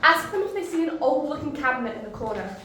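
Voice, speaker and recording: A woman's voice: high voice, lively intonation, fast, sharp tone, very echoey, slight background noise. A woman playing a defiant young woman sniper, snappy. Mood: urgent.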